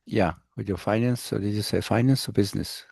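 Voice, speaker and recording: A man's voice: fairly low pitch, steady tone, brisk, vocal fry, a little mellow, breathy edge, dry recording, clean studio sound. A man teaching as a professor on a video call, talking in a rambling, anecdotal way and often restarting his sentences. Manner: rambling, anecdotal, self-interrupting. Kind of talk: online class introductions.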